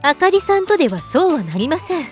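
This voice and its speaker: A woman's voice: very high-pitched, quick pace, over music. A woman acting a prim, proud, serious teenage gamer girl in an anime-style voice drama, speaking politely and a little haughtily. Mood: smug. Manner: polite formal speech, deadpan, slightly haughty.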